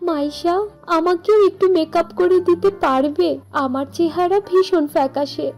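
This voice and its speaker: A woman's voice: extremely high pitch, steady tone, a little slow, a little mellow, soft background music, voice pushed up. A woman voicing humble, admiring village women in a cartoon story, earnest and marveling. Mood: pleading.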